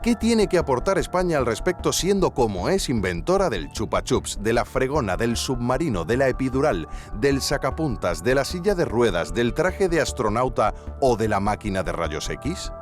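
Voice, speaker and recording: A man playing an earnest investigative journalist, narrating a scripted documentary mystery in a deliberate, theatrical way. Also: mid-range voice, very animated, faint music behind, close to an everyday voice. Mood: intriguing, deliberate. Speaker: podcast narrator and investigative interviewer.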